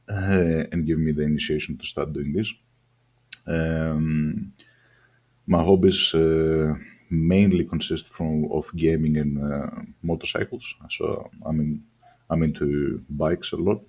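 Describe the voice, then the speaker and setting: A man's voice: rumbling low, mellow, slightly rough. A man who grew up in Australia, with a mixed accent that is partly Greek-sounding, talks casually and hesitantly about a video game and often restarts his sentences. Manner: rambling, hesitant, many ums and restarts. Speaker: gaming channel host. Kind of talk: casual game review talk.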